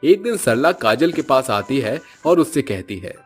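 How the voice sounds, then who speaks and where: A man narrating a scripted cartoon story in a steady, plain manner. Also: mid-range voice, moderate pace, breathy edge, soft background music.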